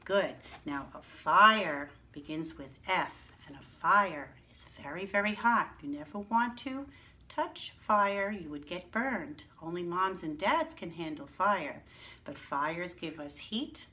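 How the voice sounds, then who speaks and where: A woman teacher leading young children through letters and words, speaking slowly and deliberately. Her voice is bright and forceful, and she keeps asking the kids to say each word with her and praises them. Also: lowish voice, lively intonation. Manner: encouraging, slow and drawn out, calls for repetition. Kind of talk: early-reading lesson for young children.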